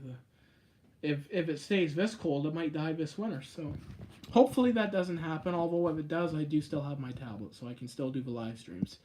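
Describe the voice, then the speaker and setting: A man hosting a casual live stream on a phone, chatting and answering viewer comments in a rambling way, with sudden jumps up in pitch on stressed words. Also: a little mellow. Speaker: YouTube live-stream host.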